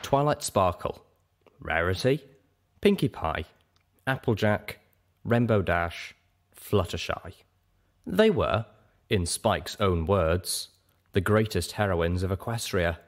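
A man's voice: deep voice, expressive, slow pace, pauses often. A man reading a story aloud as a scripted narrator. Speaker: audiobook reader and podcast host.

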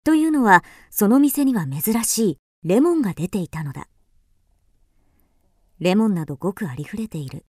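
An actress playing a wistful, introspective first-person storyteller in a literary radio drama. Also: female voice, medium pitch, expressive, normal speed, warm tone, slightly rough, dry recording, clean studio sound. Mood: wistful, relieved. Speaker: actor narrating.

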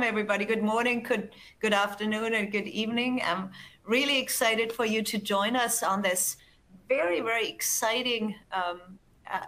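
Woman presenter, Austrian accent, bright and forceful tone, eager, repeats words, video call. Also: mid-range voice. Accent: Austrian German. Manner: enthusiastic, repeats words and restarts. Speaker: webinar presenter, research executive. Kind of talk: presenting slides in a webinar.

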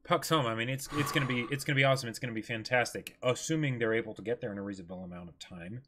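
A young man in his twenties chats as a livestream co-host. His pitch is average for a man. He talks casually but emphatically, repeating phrases and often tagging them with a questioning "right?". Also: racing, background noise. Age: 20s. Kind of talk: casual chat, discussing manga. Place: indoor room, seated.

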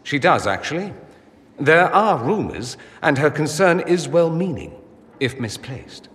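An actor playing a witty, teasing, urbane mage in a fantasy game, with an arch, dry, theatrical delivery. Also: male voice, a little high, big pitch swings, a little slow, a bit bright. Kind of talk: video game cutscene dialogue. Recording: long reverb, noisy ambience behind.

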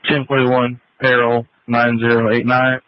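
A man's voice: medium pitch, completely flat delivery, measured pace, clear, resonant tone, no echo. A man, a police officer, calling in to dispatch over a police radio. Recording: dull lo-fi police radio transmission.

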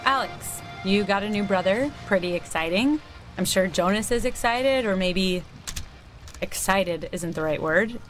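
A woman's voice: mid-range voice, animated, a little slow, faint music behind, close to an everyday voice, poor audio. A young woman playing a sarcastic, combative teenage girl in a naturalistic video game, dry and goading. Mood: needling. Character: snide, combative teenage girl, cool and needling.

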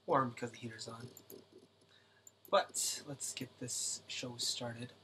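Soft-spoken man streaming late at night. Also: medium pitch, steady tone, slow, a little hiss or hum. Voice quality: speaks softly to keep voice down. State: slightly raspy from singing. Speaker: livestream host drawing. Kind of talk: casual late-night livestream chat. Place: home at night.

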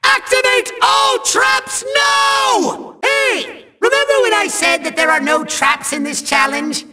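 An actor playing a pompous, tantrum-prone little cartoon villain in a video game, with a shrill, gravelly, theatrical delivery. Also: male voice, slow, bright, forceful tone, shouting, a faint crowd, full character voice. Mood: gloating, bellowing. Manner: theatrical, grandiose, sneering, cackling.

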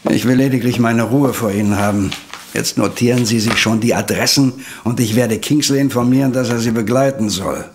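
An actor playing a gruff, impatient police inspector who gives in grudgingly, in a German audio drama. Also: male voice, mid-range voice, fairly quick, a little hiss or hum, close to an everyday voice. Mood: grudging, annoyed. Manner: gruff, begrudging.

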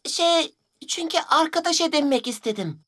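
A mischievous cartoon boy wizard, very high and swinging widely in pitch. He is proud, excitable and huffy. Music plays behind him. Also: normal speed. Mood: defensive.

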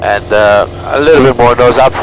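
A man's voice: mid-range voice, a little fast, low-quality recording. A man working as a flight instructor, giving short coaching instructions over a headset in a small airplane cockpit. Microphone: aviation headset mic. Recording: loud aircraft engine noise.

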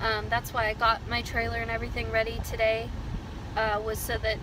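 A woman's voice: a little high, completely flat delivery, fairly quick, recorded from a distance. A woman in her thirties chatting casually to her vlog audience from inside a car. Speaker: horse vlogger. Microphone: phone or camera mounted on dashboard. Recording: loud car and road noise.

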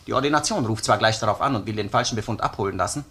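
A man's voice: fairly low pitch, fairly bright tone, breathy edge. A man with an Austrian accent playing a relentless, sardonic police detective who questions a suspect insistently in a crime radio play. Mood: grave, accusing. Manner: probing, insistent, sardonic, deliberate phrasing. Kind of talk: German-language crime radio play acting.

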